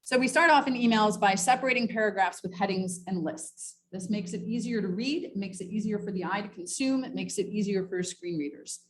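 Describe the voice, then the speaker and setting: A woman's voice: medium pitch, a little fast, hardly any pauses. A woman presenting a training session in an explanatory, informal way, part prepared and part off the cuff. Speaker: workshop presenter. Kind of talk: semi-scripted training presentation with informal asides.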